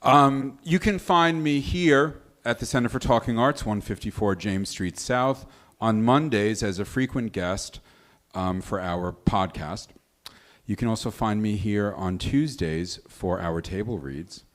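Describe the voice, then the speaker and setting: A man speaking as a show producer on a live stage, giving orderly, deliberate promotional announcements. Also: fairly low pitch. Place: live stage in small venue.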